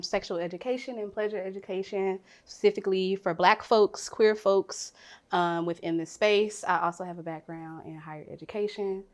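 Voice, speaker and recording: A woman with a St. Louis accent, chatting in a bubbly way as a podcast guest. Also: on the low side. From St. Louis, USA.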